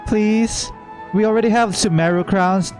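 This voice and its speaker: High-pitched playful man streaming a game. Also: unhurried, a little mellow. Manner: playful, joking. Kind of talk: casual live gameplay reaction commentary. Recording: faint game music behind.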